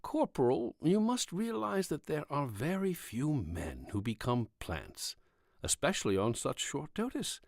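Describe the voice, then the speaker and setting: A man voicing a gentle, puzzled military doctor who questions a patient in a measured way, in an audiobook. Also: fairly high pitch, very animated, no echo, clean studio sound, voice pushed up, exaggerated voice. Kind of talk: audiobook character dialogue.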